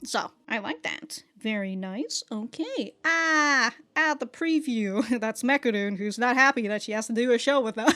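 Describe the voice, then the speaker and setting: Lady doing an anime reaction vid, rambly and sweary, restarts her sentences a lot, kinda high pitched. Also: measured pace, bright, forceful tone. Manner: chatty, swears freely, laughs often; thinks aloud with frequent restarts. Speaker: anime reaction channel host. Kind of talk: casual reaction and review commentary.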